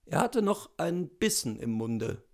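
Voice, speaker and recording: A man in his thirties reading classic fiction aloud in a deliberate, theatrical storytelling style. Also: a little high, big pitch swings, vocal fry. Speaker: literary reader. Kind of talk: expressive audiobook narration of classic fiction. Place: small indoor room with bookshelves.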